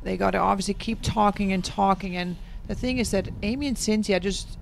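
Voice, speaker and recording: A woman's voice: medium pitch, brisk, vocal fry, a little hiss or hum. A woman with a Dutch accent commentating on tennis, hesitant and full of ums. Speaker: guest commentator. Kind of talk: sports commentary.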